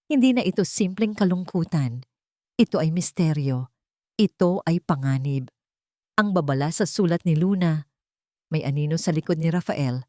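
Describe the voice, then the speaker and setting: A woman's voice: fairly low pitch, mellow, no echo, clean studio sound. A woman with a Filipino accent reading a scripted, dramatic love story as narrator.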